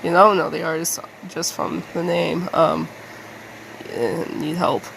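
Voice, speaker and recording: A woman talks through a casual, rambling vlog at an unhurried pace. Her mid-pitched voice often drops into creaky fry, and she speaks haltingly, putting herself down with some mild swearing. A faint hum of room noise sits behind her. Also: flat delivery, a bit bright, poor audio. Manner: halting, self-deprecating, mildly sweary. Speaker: artist making videos.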